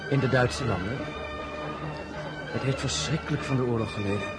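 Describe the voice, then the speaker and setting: Earnest general, played by a man, loud music behind. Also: mid-range voice, normal speed, close to an everyday voice. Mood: courteous, casual. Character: Bernadotte, earnest idealistic general and devoted husband. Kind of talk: radio play acting.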